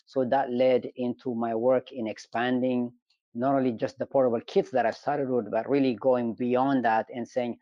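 A middle-aged man from Ethiopia speaks in a measured, storytelling way and pauses often on "uh". His pitch sits in the middle of the range. The audio is dry and slightly dull, like a remote call. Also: dry recording. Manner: measured, storytelling, frequent hesitations. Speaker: engineering professor, guest. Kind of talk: conversational interview.

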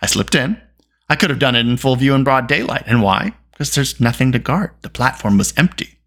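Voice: medium pitch, fairly quick, a bit bright, voice pushed up. A storyteller voicing an irascible little male dwarf, grumbling and snapping in exasperation. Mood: exasperated.

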